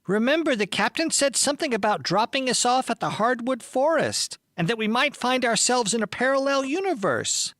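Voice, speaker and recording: An earnest young sidekick, put on by a male reader, explaining things in a high voice. The pitch moves around a lot, in lively storybook style. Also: moderate pace, hardly any pauses, no echo, studio quality, heavily acted. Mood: earnest, reminding. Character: Wilbur, earnest male traveling companion with high voice. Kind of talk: audiobook character voice.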